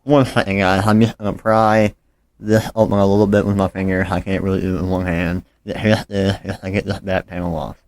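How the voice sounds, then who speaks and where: A man's voice: low-pitched, little pitch movement, a little fast, a little mellow, no echo. A man talking informally and a bit apologetically through a hands-on phone repair tutorial as he works. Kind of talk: casual hands-on how-to narration.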